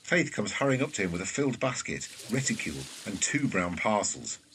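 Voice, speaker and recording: A man's voice: fairly low pitch, very animated, fairly quick, a bit bright, slight background noise, low-quality recording. A man reading scripted stage directions as the narrator of a drama.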